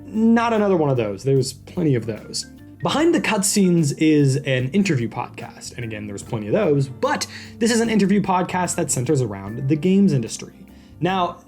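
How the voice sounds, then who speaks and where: A man's voice: medium pitch, darkish tone. A man speaking as a podcast host, introducing things to listeners in an informal, upbeat and enthusiastic way.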